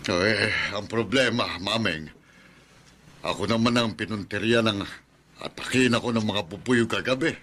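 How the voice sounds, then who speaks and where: A man's voice: fairly low pitch, normal speed, a bit bright, a little hiss or hum, close to an everyday voice. An actor playing a worried rural father in a radio drama, talking plainly with hesitant fillers.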